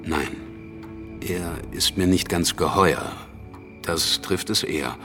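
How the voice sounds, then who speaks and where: A worldly revolutionary politician with a very low voice. He banters with cynical, teasing irony in a radio drama, with music clearly playing behind him. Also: slow. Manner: ironic, bantering. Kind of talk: German radio drama dialogue.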